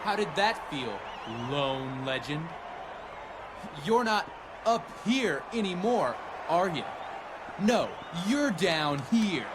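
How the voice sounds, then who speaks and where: A man's voice: high voice, big pitch swings, over a noisy crowd, very noisy, exaggerated voice. An actor playing a smug, mocking young male wrestler in a video game cutscene. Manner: mocking, drawn-out.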